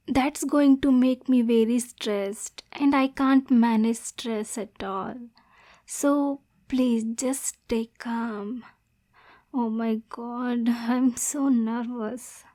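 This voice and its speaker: A woman's voice: a little high, mellow. A woman playing a lonely, anxious, lovesick demon girl in an ASMR roleplay, stammering and pleading with coaxing endearments.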